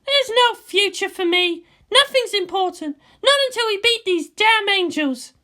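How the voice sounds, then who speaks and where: A man's voice: very high voice, expressive, a little slow, sharp tone, pitched above the performer's own voice, exaggerated voice. A man voicing a brash, world-weary young woman officer with an emotional, teasing manner in a fan fiction reading. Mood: wistful, bitter.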